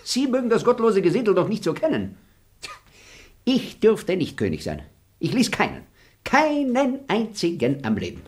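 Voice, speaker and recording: A sly, fawning bailiff for a radio play. His voice sits fairly high and leaps up and down as he wheedles and giggles. He stays pushy and theatrical throughout. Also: huge pitch swings, moderate pace, exaggerated voice. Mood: zealous. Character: sly fawning bigoted male estate bailiff. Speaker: estate bailiff. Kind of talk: German radio play acting.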